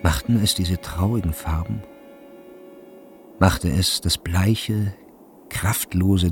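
A man narrating literary prose in a radio drama, measured and reflective. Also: low-pitched. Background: music.

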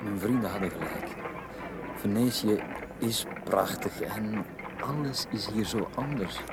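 An actor playing a shy, earnest English aristocrat of very small stature in a radio drama, speaking in a hesitant, courteous, tender way. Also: male voice, fairly low pitch, unhurried, noisy background. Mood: quiet wonder. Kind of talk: Dutch-language radio drama acting. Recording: radio play with music behind.

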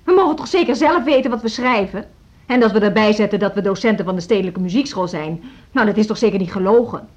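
An actress playing a combative, stubborn music teacher and union militant in a radio drama, curt and unyielding. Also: female voice, mid-range voice, fast talker, natural voice. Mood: defiant. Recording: dull lo-fi radio play recording.

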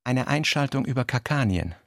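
A man's voice: medium pitch, lively intonation, normal speed, dry recording. Man reading terse notes, dry sound. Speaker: radio play reader. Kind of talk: scripted reading of essayistic notes.

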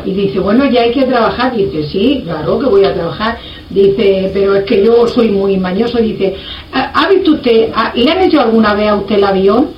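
Woman, casual storytelling, earthy and animated, distant muffled mic. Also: medium pitch, moderate pace. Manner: earthy, animated retelling with repeated reported speech. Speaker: storyteller of a folk anecdote. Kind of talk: casual oral anecdote, colloquial. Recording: muffled, roomy, distant mic, faint noise.

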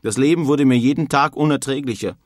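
A man's voice: medium pitch, quick pace, no echo, clean studio sound, natural voice. An actor playing a grumbling, homesick German emigrant craftsman in a dramatised reading of a period letter. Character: disgruntled German emigrant craftsman writing home, blustering and homesick.

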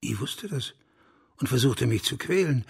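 An actor playing a bitter, proud last heir of a declining family in a radio crime drama, scornful and insinuating, sometimes dropping to a breathy murmur. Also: male voice, mid-range voice, lively intonation, moderate pace, exaggerated voice. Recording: music behind the voice.

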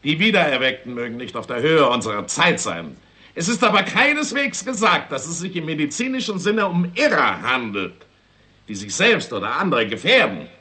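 A legalistic male government minister in 1960s radio drama style. His voice sits slightly high and is bright and sharp, with hugely varied intonation. He argues precisely, sternly and formally. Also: big pitch swings, moderate pace. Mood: formal, insistent.

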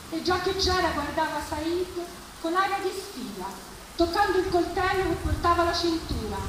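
A woman giving an expressive, theatrical reading of literary prose at a live event. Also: sits high. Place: live public reading at a festival, distant mic. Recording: echoey, distant, noisy live event recording.

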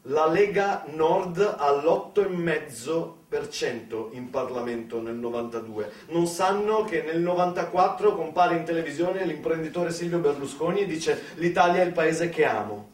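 A man performing a dramatic theatrical storytelling monologue, emphatic, with deliberate pauses and repetitions. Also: a little high. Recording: roomy, distant mic, faint background noise.

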